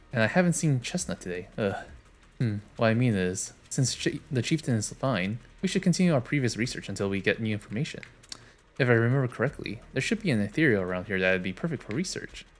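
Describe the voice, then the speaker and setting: A man's voice: medium pitch, expressive, fast, faint music behind. Man casually reading game lines aloud. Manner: casual, wry, reads lines with offhand jokes. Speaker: streamer. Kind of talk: reading game dialogue aloud on a live stream.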